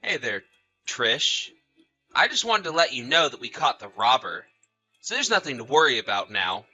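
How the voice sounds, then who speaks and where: Audio-story role: betrayed husband played by a man, piercingly bright delivery, animated pitch, sarcastic and confrontational. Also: moderate pace, dry recording, soft background music, full character voice. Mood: coolly taunting. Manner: sarcastic, accusatory. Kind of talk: acted audio story dialogue and narration.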